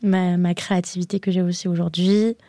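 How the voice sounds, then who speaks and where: Mellow-voiced young woman, hesitant casual speech. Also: lowish voice, level tone, brisk, dry recording, studio quality. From Andorra. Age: 20s. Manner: hesitant, many fillers, enthusiastic. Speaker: podcast guest. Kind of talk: casual interview chat.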